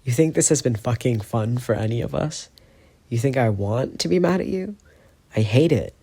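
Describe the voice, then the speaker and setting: A man voicing an angry, hurt teenage boy who argues loudly and pleads with a gravelly voice. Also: mid-range voice, animated, moderate pace. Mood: anguished. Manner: loud, pleading, heated.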